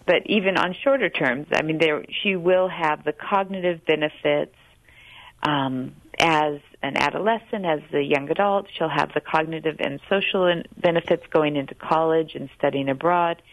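A woman's voice: deep voice, moderate pace, no room sound, lo-fi sound. A woman professor, a guest expert on a radio show, explaining research with enthusiasm.

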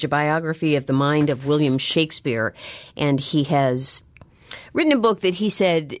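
Very deep-voiced radio host woman. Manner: measured, slow when reading out the number. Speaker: radio talk show host. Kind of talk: public radio call-in talk. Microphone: studio mic.

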